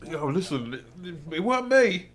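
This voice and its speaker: London man, fifties, slightly higher register, casual filmmaker's commentary, self-deprecating, halting and sweary. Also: big pitch swings, crowd noise. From London's East End. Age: 50s. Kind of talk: casual reminiscing commentary over old film.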